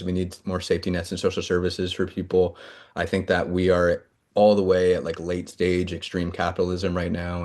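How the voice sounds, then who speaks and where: A man's voice: deep voice, vocal fry, a little mellow. A man running for office, answering interview questions earnestly with frequent fillers and restarts. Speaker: congressional candidate.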